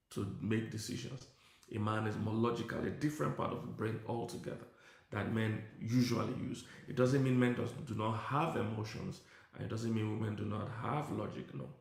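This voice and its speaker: A middle-aged man preaching in a loose, conversational way, his voice gravelly and low-set. The room rings with echo around him. He teaches casually and drifts in and out of asides. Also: steady tone, moderate pace, a little mellow. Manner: conversational, stops to talk to his tech team. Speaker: pastor. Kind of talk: sermon teaching.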